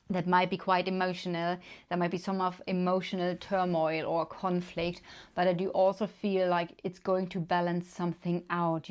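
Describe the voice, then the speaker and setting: A woman from Germany with a German accent, giving a casual tarot card reading with gentle, unhurried talk and many fillers. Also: on the low side. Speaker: tarot reader.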